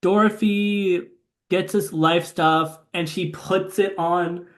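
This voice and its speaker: A young man co-hosting a casual movie podcast, recapping the plot with lots of swearing and excitement. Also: high voice, slow pace. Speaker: podcast co-host.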